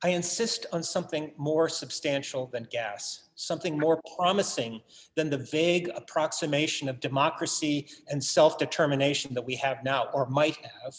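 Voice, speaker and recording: An actor playing an anxious, self-absorbed collector with earnest, literary phrasing in a staged play reading. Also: male voice, on the high side, moderate pace, reverberant, lightly acted. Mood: insistent. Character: anxious, self-absorbed, wordy male collector brooding on his legacy. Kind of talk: theatrical staged reading, monologue and verse.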